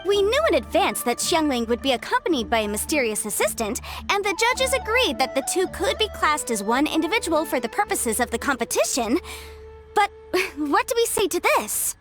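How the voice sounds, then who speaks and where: An actress playing a lively female contest host announcing in a game cutscene, with a showy manner. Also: sits high, moderate pace, over music. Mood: surprised.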